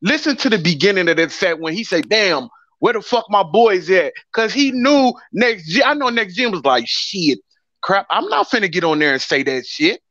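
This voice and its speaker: A man co-hosting a podcast in casual gaming chat, excitable and slangy as he tells a story. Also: sits high, normal speed, no echo. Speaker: podcast co-host. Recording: dry, dull lo-fi sound.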